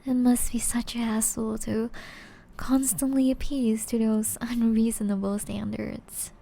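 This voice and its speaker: Casting: actress as seductive aristocratic vampire woman for ASMR roleplay; mellow, unhurried, breathy and refined, music underneath. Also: a little high, flat delivery. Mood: charming, sincere. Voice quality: soft, breathy, often whispery. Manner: refined, formal, teasing, slow and deliberate.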